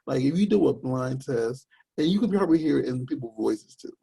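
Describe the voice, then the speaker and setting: A young man with a deep voice in casual podcast chat, rambling and searching for words with many fillers. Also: animated, a little fast, vocal fry, dark tone, breathy edge.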